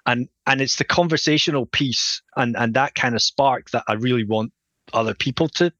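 A man's voice: mid-range voice, moderate pace, fairly bright tone, no echo, muffled recording. A man from Scotland with a Scottish accent, a guest futurist talking casually and self-deprecatingly with many restarts. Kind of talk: casual podcast interview.

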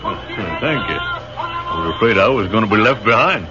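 An actor playing a friendly, modest American infantry captain with an American accent, speaking in an easygoing, folksy way, in a 1940s radio drama. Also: male voice, on the low side, sing-song, normal speed, over music, a crowd in the background. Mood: polite. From Chicago, USA. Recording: dull old radio broadcast recording with faint hiss.

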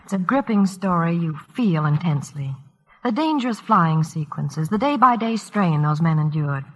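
A woman's voice: on the low side, expressive, moderate pace. An American woman as a Hollywood reporter reading scripted 1940s radio promotion. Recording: muffled old radio broadcast in reverberant hall.

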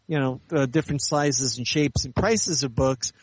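A man giving measured, articulate, explanatory answers as an author and editor being interviewed in conversation. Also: mid-range voice, husky, dry recording, rough recording.

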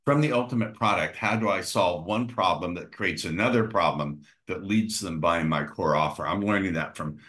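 A man's voice: low-pitched, normal speed, hardly any pauses, a bit bright. A man hosting a podcast interview, chiming in with affirmations and steering toward the wrap-up. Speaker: podcast host.

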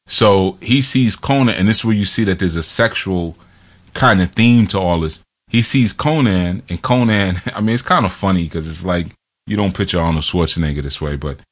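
A man hosting casual film commentary, rambling and digressive with lots of fillers. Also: low-pitched.

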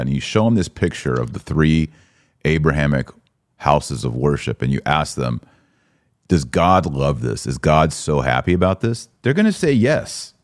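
A man's voice: rumbling low, a little mellow, no room sound, studio quality. A man hosting a conversational religious podcast, informal, using rhetorical questions and repeating phrases for emphasis. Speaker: podcast host.

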